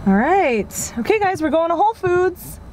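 A woman's voice: extremely high pitch, very animated, moderate pace, darkish tone, a little hiss or hum. A woman playing an eager, easily flustered dog walker who chatters and exclaims in a naturalistic comedy-drama style. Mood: singsong, upbeat.

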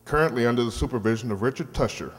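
A man's voice: mid-range voice, rapid-fire. An older man reading a scripted thank-you speech to an audience from a stage. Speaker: program director addressing audience. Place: large hall stage, indoors. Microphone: stand microphone through PA. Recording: reverberant hall.

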